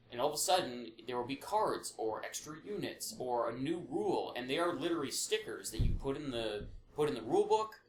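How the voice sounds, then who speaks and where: A man's voice: mid-range voice, fairly bright tone. A man co-hosting a podcast, chatting casually and explaining things with enthusiasm. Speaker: podcast co-host. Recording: echoey room with background noise.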